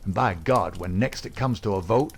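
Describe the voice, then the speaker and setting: A man's voice: fairly low pitch, expressive, unhurried, slight background noise. Man voicing a furious, jealous young thug. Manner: furious, snarling. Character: jealous, hot-tempered young male thug.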